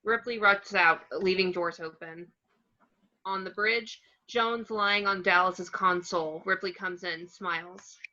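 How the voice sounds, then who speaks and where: A woman's voice: lowish voice, steady tone, measured pace, bright, forceful tone. A woman narrating stage directions from a screenplay in short clipped phrases, on a lo-fi recording. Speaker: narrator reading action descriptions.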